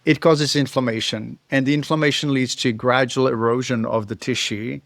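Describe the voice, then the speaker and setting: A man's voice: mid-range voice, steady tone, moderate pace, vocal fry, no echo, clean studio sound. A middle-aged male veterinarian with a Czech accent, explaining in a thoughtful, informal way to camera. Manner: thoughtful, explanatory, occasional chuckles. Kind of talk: casual educational talk to camera.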